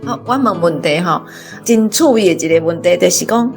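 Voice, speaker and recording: A woman's voice: fairly low pitch, fairly quick. Taiwanese woman posing questions over music. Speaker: panelist asking questions. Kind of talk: casual literary discussion.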